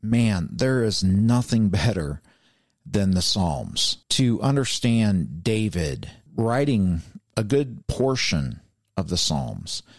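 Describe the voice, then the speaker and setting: A man's voice: lowish voice, very animated, takes it slowly, no room sound, studio quality. A man hosting a faith podcast, speaking in an earnest, heartfelt way. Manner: heartfelt, calls his guest "brother". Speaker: podcast host.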